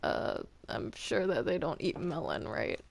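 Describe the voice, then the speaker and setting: Creaky defensive little demon guy someone acting him out, muttery n indignant, swings up and down, bit of hiss. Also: mid-range voice, huge pitch swings, measured pace, heavily acted. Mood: sheepish. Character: defensive, reluctant cartoon demon stuck with villains. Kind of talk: improvised Minecraft roleplay.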